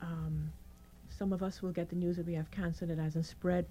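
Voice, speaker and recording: A middle-aged woman doctor with a croaky, hoarse voice, calmly explaining her experience as a radio guest. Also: fairly low pitch, moderate pace, a little hiss or hum. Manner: calm, reassuring, explains step by step. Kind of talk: radio interview.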